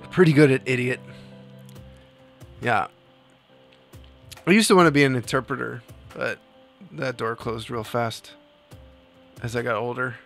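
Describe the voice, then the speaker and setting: A man's voice: mid-range voice, fairly even intonation, unhurried, creaky, long pauses, fairly bright tone. Chatty male streamer, faint music behind. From New Jersey. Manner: rambling, laughs often, reads chat aloud. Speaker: livestream host. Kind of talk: casual chat with live chat.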